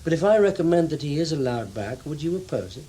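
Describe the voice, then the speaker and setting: An actor playing a blunt, dogged intelligence investigator in a radio drama, probing and insistent in clipped phrases. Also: male voice, fairly high pitch, sing-song, quick pace, dark tone, a little hiss or hum. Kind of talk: radio drama acting, dialogue and first-person narration.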